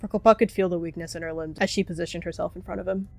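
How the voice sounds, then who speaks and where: A woman's voice: lowish voice, fairly even intonation, very fast, vocal fry, a little mellow, slight background noise. A woman reading scripted story narration for an animal fantasy roleplay.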